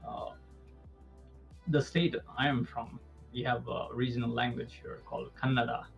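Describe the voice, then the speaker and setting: Guest, Indian-accented young man, gravelly voice, lo-fi line, patient explainer. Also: mid-range voice, little pitch movement, normal speed, soft background music. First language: Urdu. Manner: explanatory, with repeated "actually" and "right?". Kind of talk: casual interview. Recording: dull lo-fi sound.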